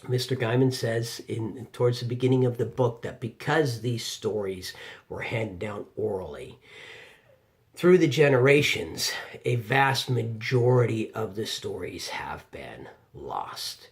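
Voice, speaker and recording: Slow-paced man with animated, swooping delivery. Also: lowish voice. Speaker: audiobook reviewer. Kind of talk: informal spoken video review. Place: home office.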